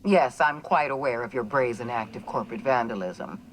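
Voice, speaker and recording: An actress playing a cold, menacing female corporate official in a video game, speaking in a measured, formal, condescending way. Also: extremely low pitch, a little hiss or hum, low-quality recording. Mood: cold disdain. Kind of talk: dramatic video game dialogue.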